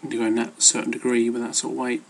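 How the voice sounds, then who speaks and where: Flat, gravelly man rambling casually. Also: mid-range voice, fast talker. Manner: hesitant, loosely worded. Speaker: amateur fitness vlogger. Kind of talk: casual rambling advice talk.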